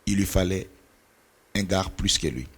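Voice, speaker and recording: A man's voice: low voice, normal speed, slightly rough. A middle-aged man whose first language is Portuguese, speaking as a pastor and mentor in an earnest, preaching cadence, sometimes searching for words. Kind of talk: church talk on mentoring.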